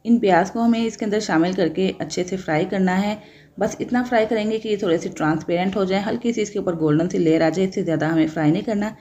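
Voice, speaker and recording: A woman's voice: lowish voice, mellow, lo-fi sound. Patient woman teaching a recipe. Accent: Pakistani Urdu. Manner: patient, explains each step and its benefits. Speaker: cooking channel host. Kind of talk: step-by-step cooking instructions. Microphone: voice-over over hands-only cooking footage.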